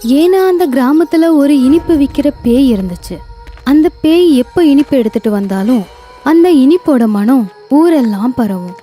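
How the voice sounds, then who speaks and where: A woman narrating an animated horror story in a lively storytelling manner. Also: high voice, a little slow, faint music behind.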